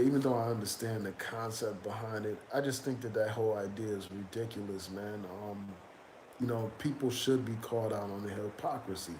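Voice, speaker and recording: A man with a cold, sniffling as he comments loosely to his viewers, with lots of "um" and "man.". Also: lowish voice. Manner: loose, conversational, fillers like "man" and "um". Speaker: YouTube commentator reading chat. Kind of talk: casual live-stream commentary. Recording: echoey room with background noise.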